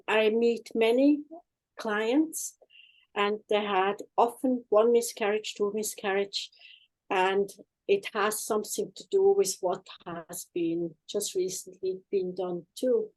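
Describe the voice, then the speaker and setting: An older woman with a Swiss accent, telling her life story in a halting, plain-spoken way as an interview guest online. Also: medium pitch, fairly even intonation, a little mellow, poor audio. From Switzerland. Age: 60s or older. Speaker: guest, healing practitioner and former nurse. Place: online video chat.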